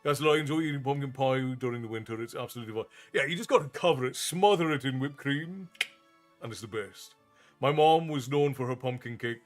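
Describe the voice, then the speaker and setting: A man's voice: mid-range voice, a little fast, soft background music. A man in his thirties from Ireland with an Irish accent mixed with American, chatting casually with viewers as a streamer and thanking them often.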